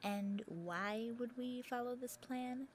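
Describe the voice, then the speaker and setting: A woman's voice: fairly high pitch, takes it slowly, a little hiss or hum. A woman acting a menacing, impatient princess in an amateur audio drama, cold and threatening. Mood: irritated.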